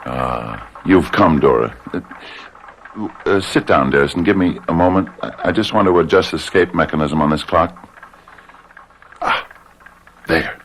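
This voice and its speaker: A man's voice: a bass voice, unhurried, long pauses, fairly bright tone, slight background noise. An actor in a radio drama playing an unhinged, guilt-ridden, obsessive man who stammers and repeats his words. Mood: gentle, distracted.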